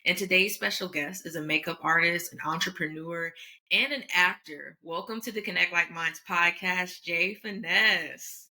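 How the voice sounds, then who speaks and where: A woman hosting a podcast interview, upbeat and encouraging, often backing up her guest with quick affirmations. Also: lowish voice, pushed and bright. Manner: upbeat, affirming with frequent mm-hmms. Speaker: podcast host.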